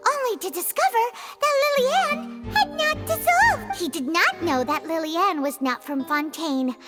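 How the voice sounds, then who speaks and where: A tiny girlish fairy with an extremely high, squeaky voice. She is excitable and theatrical, swinging widely in pitch like a game sidekick playing detective over prominent music. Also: normal speed. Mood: confident explaining. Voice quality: squeaky, childlike. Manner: bubbly, theatrical. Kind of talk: video game voice acting.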